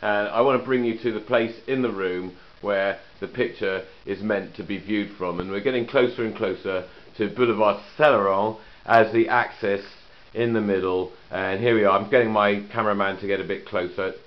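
A man's voice: on the low side, steady tone, normal speed, few pauses. A man giving a rambling, joking walkthrough of his own mural in an indoor studio room, talking away from the camera. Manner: rambling, joking, trails off into asides. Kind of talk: casual narrated walkthrough. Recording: dull lo-fi camcorder audio.

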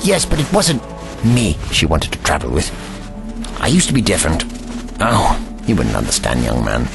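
A man's voice: rumbling low, sing-song, normal speed, gravelly, music in the background, heavily acted. A man playing an eccentric old time-travelling Doctor, musing and patronising with old-fashioned courtesy, in an audio drama. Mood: wistful.

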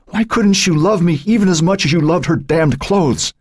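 A man's voice: medium pitch, big pitch swings, normal speed, a little mellow, breathy edge, no echo, studio quality, voice pushed up. A man voicing an anguished, unstable woman in an audiobook, fearful, pleading and distraught. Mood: hysterical, hurt. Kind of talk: audiobook character voice.

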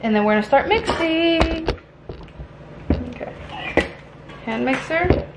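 A woman's voice: very high-pitched, expressive, slow pace. A young woman chatting informally to viewers as she gives home baking instructions in a casual, unpolished way. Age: young adult. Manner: informal, chatty, occasionally crude. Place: small home kitchen, indoors. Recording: clearly noisy home kitchen audio with clicks.

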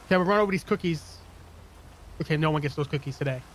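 A man bantering casually with friends during a game over voice chat, excitable, jokey and repeating himself. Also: fairly high pitch, very fast. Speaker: gamer playing with friends. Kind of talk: casual joking gameplay banter. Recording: voice chat with clear background noise.

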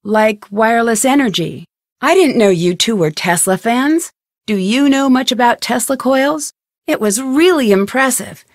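A woman's voice: fairly high pitch, animated, measured pace, no echo, studio quality. A woman playing an inquisitive, level-headed young detective in an adventure game, speaking in an earnest, conversational, matter-of-fact way. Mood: curious, thoughtful.

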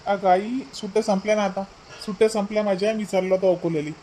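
A man's voice: high-pitched, fairly even intonation, fast, warm tone, a little hiss or hum, lightly acted, low-quality recording. A man voicing a breezy young married son in a cartoon family comedy, insistent and reassuring, with a Vidarbha Marathi accent.

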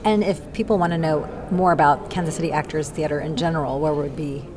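Female interviewer, American, low voice, informal questioning, handheld mic in a busy lobby. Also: fairly even intonation, brisk. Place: large indoor lobby. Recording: crowd murmur in public lobby.

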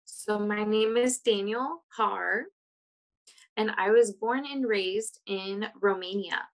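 Woman voicing for a signer on a video call, mid pitch, steady plain delivery. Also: takes it slowly, rough recording. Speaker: sign language interpreter voicing a panelist. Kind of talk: simultaneous voice interpreting on a video panel.

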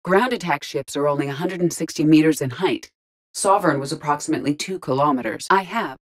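An actress playing a calm, logical artificial intelligence in an android body in a video game, speaking formally and precisely with restrained emotion. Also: female voice, deep voice, fairly quick. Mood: calm, matter-of-fact. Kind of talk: scripted video game voice acting.

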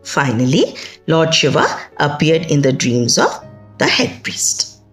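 A middle-aged woman with an Indian accent telling a folktale in an expressive, emphatic way, with conversational asides. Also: sits low, a little slow. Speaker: storyteller. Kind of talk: dramatic oral folktale storytelling. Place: indoors, small plain room. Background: music.

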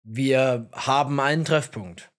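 A man's voice: medium pitch, slow, natural voice. A man voicing a flippant, teasing male field agent in an audiobook. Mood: easygoing. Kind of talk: audiobook character acting.

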